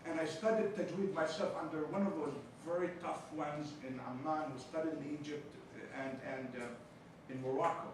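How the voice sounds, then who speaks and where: A man's voice: a little high, fairly even intonation, moderate pace, few pauses. Arabic-accented man lecturing in an echoey room. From Palestine. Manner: jokey, teasing asides between explanations. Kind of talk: seminar lecture. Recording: echoey room, distant mic, background noise.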